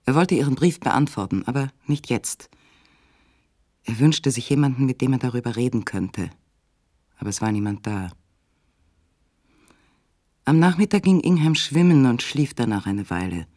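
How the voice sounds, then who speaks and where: A male narrator reads literary prose for a radio drama in a measured, sober manner. His voice is mid-pitched and recorded very dry. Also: lively intonation, darkish tone, no echo.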